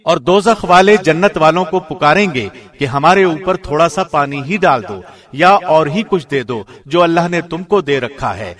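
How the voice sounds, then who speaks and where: A man reads a translation of scripture from a script. His delivery is formal and measured, like a radio presenter's, and his voice sits a little higher than most men's. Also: animated, a bit bright. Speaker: translation reader, radio host.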